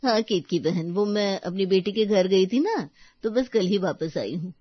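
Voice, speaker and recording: A woman's voice: mid-range voice, expressive, normal speed, no echo, dull audio, natural voice. Woman as a chatty older neighbour auntie, dry sound. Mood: friendly. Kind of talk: animated-story dialogue.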